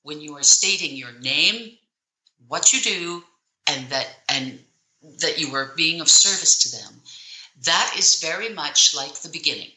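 Low-voiced woman, bright and emphatic. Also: expressive, reverberant, rough recording. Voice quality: low speaking voice. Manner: emphatic, deliberate, demonstrates with pauses and shifts in tone. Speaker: public speaking coach. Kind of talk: interview answers, instructive.

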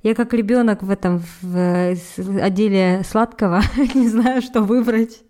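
A woman co-hosting a casual podcast, upbeat and quick to approve the others. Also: medium pitch, steady tone, dark tone. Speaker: podcast co-host.

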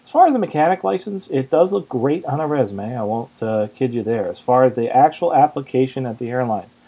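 A man's voice: mid-range voice, animated, a little fast, breathy edge. Male podcast host, slightly muffled audio. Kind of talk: casual panel discussion, answering listener questions.

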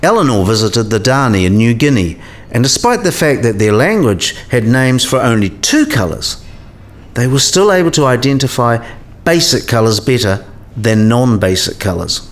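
A man's voice: medium pitch, very animated, moderate pace. A man playing a patient, scholarly professor in a radio play, explaining things in a didactic way.

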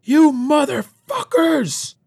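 A male reader puts on an embattled gang boss from an action story. He is hot-tempered and loud, the voice strained and driven well above the reader's normal pitch. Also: big pitch swings, slow pace, creaky, full character voice. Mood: screaming rage.

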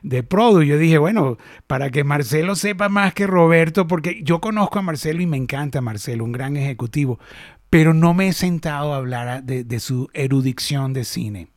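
A man's voice: fairly high pitch, moderate pace, dry recording, studio quality. A middle-aged Venezuelan man hosting a casual industry chat, talking animatedly with many restarts. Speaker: host.

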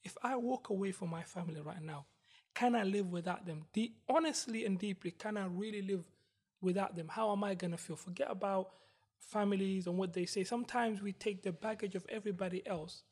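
High, husky-voiced man. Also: fairly quick, a little mellow. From Britain. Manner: earnest, repetitive phrasing with rhetorical questions. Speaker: panel discussion participant. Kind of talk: casual discussion.

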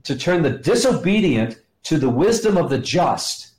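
A middle-aged or older man, a podcast guest, explaining scripture conversationally with emphatic pauses and rhetorical questions in a roomy recording. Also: on the high side, big pitch swings, darkish tone. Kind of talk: teaching in conversation, quoting scripture. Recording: roomy, echoing sound.

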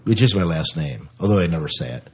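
A man with a low, husky voice chats as a podcast co-host. He speaks informally and with enthusiasm, as if talking straight to regular listeners. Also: lively intonation, fast talker. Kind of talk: casual podcast chat.

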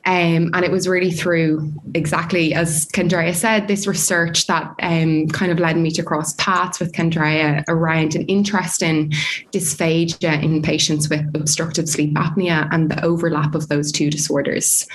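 A woman from Dublin with an Irish accent, a researcher explaining her field in a measured way with frequent fillers. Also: low-pitched, little pitch movement, a little hiss or hum, low-quality recording. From Ireland. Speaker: speech pathology researcher. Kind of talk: academic discussion, explaining.

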